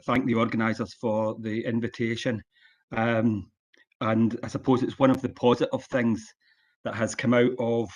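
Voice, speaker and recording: A Scottish man in his sixties giving an online conference lecture as a guest speaker, plain-spoken and relaxed, with frequent ums and uhs. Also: mid-range voice. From Scotland. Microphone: video call.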